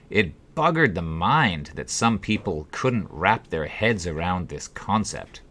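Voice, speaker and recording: A man reading his own first-person story as an audiobook, with measured, deliberate phrasing. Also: on the low side, a bit bright. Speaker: author reading own book.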